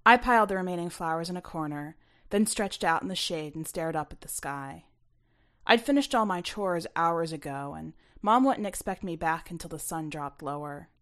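A woman reading her own short story aloud as expressive first-person narration. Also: on the low side, normal speed.

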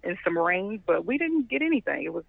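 A man's voice: high-pitched, sing-song, brisk, vocal fry, no echo. A man from Michigan calling in as a podcast guest, talking in an upbeat, chatty way. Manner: upbeat, talkative. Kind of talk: casual interview chat. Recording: tinny dry phone line.

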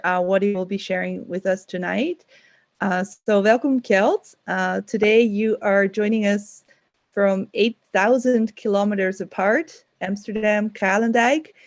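A woman moderating an online talk over a video call, speaking with frequent pauses and fillers. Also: mid-range voice, dry recording. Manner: hesitant, many ums and uhs.

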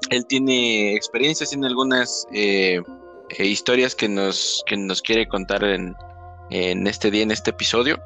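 A man hosts a relaxed podcast chat. His voice sits a little low but sounds bright and forward. He keeps stopping and restarting his sentences, and faint music plays under him. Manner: halting, many restarts and fillers. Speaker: podcast host. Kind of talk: casual podcast conversation.

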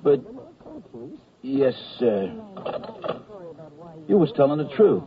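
A lazy Army medic sergeant, gruff, slangy and clueless, in a middle-pitched voice. It comes from 1970s radio comedy with a thin, tinny sound. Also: male voice, sing-song, slow. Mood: hesitant, official. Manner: blunt, slangy, offhand. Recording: tinny phone-like old radio broadcast.

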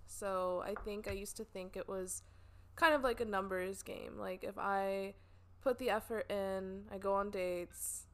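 A woman in her twenties, a guest, talking thoughtfully about dating with many hedges and fillers. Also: medium pitch. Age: 20s. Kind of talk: casual chat.

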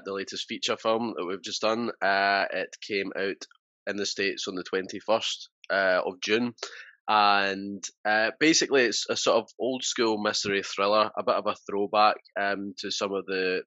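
A man with a low, bright, forceful voice talks casually in an interview, filling pauses with ums and uhs. The recording sounds dull and lo-fi. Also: level tone, dry recording. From Scotland. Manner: chatty, frequent filler sounds. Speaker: filmmaker guest.

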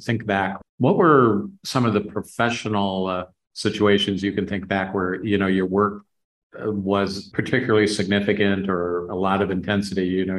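A man's voice: deep voice, rough recording. A man hosting a conversational interview, putting his questions in an informal, rambling way. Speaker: podcast host, interviewer.

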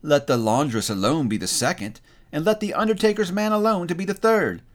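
A man's voice: on the high side, fairly quick, voice pushed up, lightly acted. A man voicing a brazen, defiant, hard-hearted woman thief in a storybook reading. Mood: gleeful, bold. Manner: loud, challenging, working-class plain speech.

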